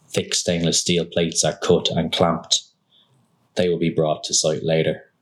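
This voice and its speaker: A man reading a literary text aloud as scripted narration, in measured, deliberate phrases with pauses. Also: low-pitched.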